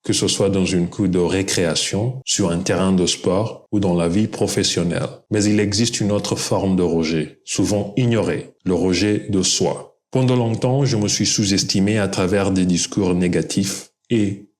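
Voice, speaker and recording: Calm Kenyan man, low voice. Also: moderate pace, few pauses. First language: Luo. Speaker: video creator. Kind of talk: scripted reflective monologue.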